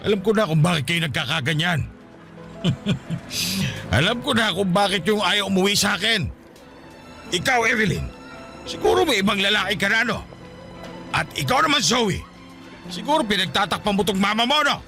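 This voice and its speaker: A man's voice: high voice, lively intonation, moderate pace, gravelly, pauses often, sharp tone, soft background music, heavily acted. A man acting a pleading, bitter, drunken husband and father in a Filipino radio drama. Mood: bitter, accusing. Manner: wheedling, then accusing.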